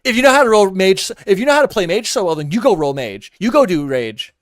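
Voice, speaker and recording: Ranting man with a smooth, dry voice. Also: high-pitched, animated, fast, no echo. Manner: ranting, exasperated, repeats himself. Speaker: reaction streamer. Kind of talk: heated casual commentary.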